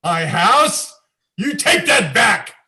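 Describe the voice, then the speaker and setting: A man playing a good-natured, excitable father who blusters, in a table read of a screenplay. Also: sits high, slow pace, exaggerated voice. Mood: blustering.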